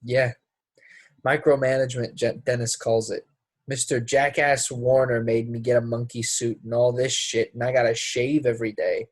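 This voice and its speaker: A man's voice: mid-range voice, flat delivery, moderate pace, no room sound, close to an everyday voice. A man playing a brash, resentful young actor in a play reading, speaking in a blunt, casual, clipped way. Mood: casual, aloof. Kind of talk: play readthrough acting.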